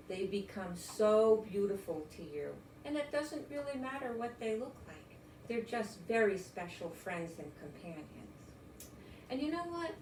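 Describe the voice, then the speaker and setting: An older woman reading a children's story aloud in a gentle, expressive, encouraging way. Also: mid-range voice. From United States. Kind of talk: read-aloud children's story with chatty asides. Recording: roomy echo, distant mic, faint noise.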